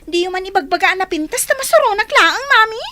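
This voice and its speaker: A woman with an Ilocano accent playing a sly, excitable, cheeky young daughter in a radio drama. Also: extremely high pitch, fast talker, a little hiss or hum, full character voice. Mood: eager scheming.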